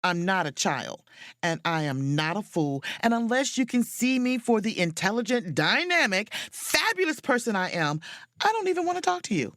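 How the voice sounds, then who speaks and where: A woman playing a confident, sharp-witted, proud and flirtatious sleuth in an audio drama, with an emphatic, theatrical delivery. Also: medium pitch, sing-song, moderate pace, dry recording, clean studio sound. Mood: indignant. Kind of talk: audio drama acting with first-person narration.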